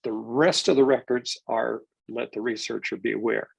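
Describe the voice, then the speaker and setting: A man's voice: mid-range voice, steady tone, moderate pace, vocal fry, husky, dry recording. An older man presenting a genealogy webinar, stuffed up from a cold, rambling with restarts and fillers. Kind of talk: live webinar lecture.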